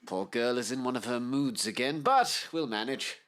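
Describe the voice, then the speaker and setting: A man's voice: medium pitch, expressive, normal speed, a bit bright, close to an everyday voice. An actor playing a cheerful middle-aged Victorian father, effusive and fatherly, in an audio drama. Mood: jovial.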